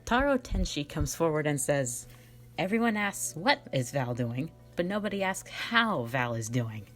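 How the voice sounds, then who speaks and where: A woman in a casual question-and-answer style, reading out fan questions and replying in a playful, rambling, self-deprecating way. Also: on the low side. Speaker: ASMR and audio roleplay voice creator. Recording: faint music behind the voice. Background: faint background music.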